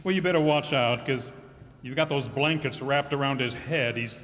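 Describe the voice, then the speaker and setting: A man playing a dry, deadpan night patrolman in an old-time radio comedy staged live in a hall with long reverb. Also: mid-range voice, moderate pace, natural voice.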